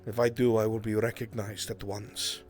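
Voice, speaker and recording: A man playing a grave, earnest character in a fantasy roleplay, speaking deliberately with dramatic pauses. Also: fairly low pitch, flat delivery, a little mellow, soft background music, natural voice. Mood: urgent pleading. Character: grave, earnest man pleading for a lost enslaved friend. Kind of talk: in-character improvised fantasy acting.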